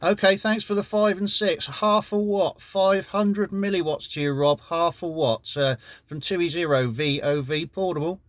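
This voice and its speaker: A man's voice: high-pitched, normal speed, few pauses, no room sound. A man with an English accent chatting courteously as an amateur radio operator during a casual contact. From United Kingdom.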